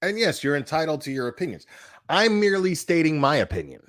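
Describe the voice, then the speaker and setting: A man's voice: fairly high pitch, sing-song, fast talker, a bit bright. A man ranting in a casual reaction video, blunt and profane, with lots of restarts and slangy fillers. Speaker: online commentator.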